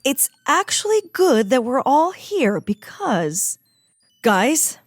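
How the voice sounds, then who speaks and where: A woman's voice: sits high, big pitch swings, slow pace, husky, dry recording, clean studio sound, exaggerated voice. A woman playing an eager young female agent in training in a comedy audio drama, bossy and keen to lead. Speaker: Mackenzie McGrath.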